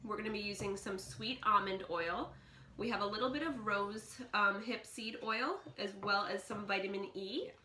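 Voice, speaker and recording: A young woman hosting her own channel, explaining a homemade recipe step by step in a relaxed, casual way from her kitchen. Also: medium pitch, moderate pace, slight background noise. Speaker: channel host. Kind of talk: casual how-to vlog. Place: home kitchen.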